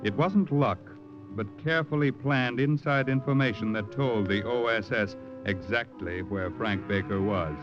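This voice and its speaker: A man's voice: fairly low pitch, a little slow. A man delivering dramatic scripted narration in the style of 1940s radio. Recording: dull vintage radio broadcast, music behind.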